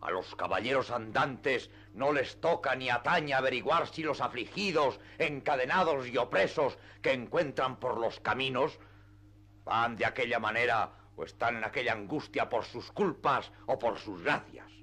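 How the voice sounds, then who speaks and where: An actor playing a proud, indignant knight-errant in a radio play, declaiming in grandiloquent archaic Spanish. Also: male voice, mid-range voice, moderate pace, sharp tone. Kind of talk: classic radio theatre acting.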